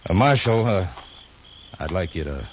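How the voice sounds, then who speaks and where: Deep gruff cowhand heavy, american, surly, muffled old radio western. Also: male voice, expressive, normal speed. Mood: grudging, confessing. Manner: gruff, grudging, folksy grammar. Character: gruff, surly cowhand henchman of a saloon boss. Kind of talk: 1950s radio western acting. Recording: dull, noisy old radio recording.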